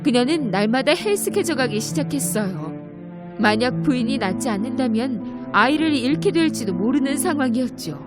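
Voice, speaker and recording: A woman's voice: high voice, animated, moderate pace, over loud music. A woman storyteller reading scripted narration of a fairy tale for children.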